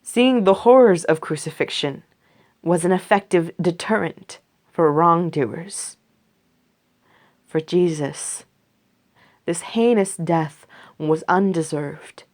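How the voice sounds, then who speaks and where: A woman reading a scripture story aloud for a church congregation, slow and measured. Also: on the low side, darkish tone. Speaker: scripture reader. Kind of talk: scripted read-aloud narration.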